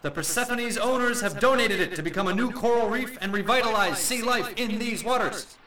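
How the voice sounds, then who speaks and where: Man as officious American navy admiral, very high for a man, off-mic over crowd sound. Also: fairly quick, distant mic, natural voice, low-quality recording.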